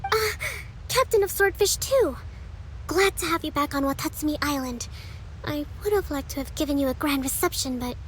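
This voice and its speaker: A woman's voice: high voice, animated, moderate pace, a little hiss or hum, poor audio. A woman playing a composed young priestess who leads an island in a video game, speaking formally and politely. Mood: gracious, composed. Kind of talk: video game cutscene acting.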